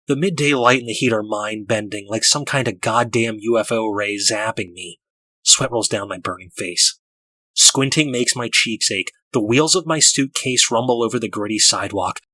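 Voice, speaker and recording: A man's voice: lowish voice, bright, forceful tone, no echo, low-quality recording. A man playing a panicky, self-loathing alcoholic drifter in an audiobook, narrating in an agitated, desperate, profane way. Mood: miserable. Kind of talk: dramatic first-person audiobook narration.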